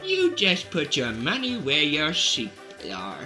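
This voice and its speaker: A woman's voice: low-pitched, wildly swooping pitch, takes it slowly, bright, forceful tone, faint music behind, heavily acted. A woman playing a brash, boastful young boy who barbers sheep, with punchy showmanship in a comic radio commercial. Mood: cocky.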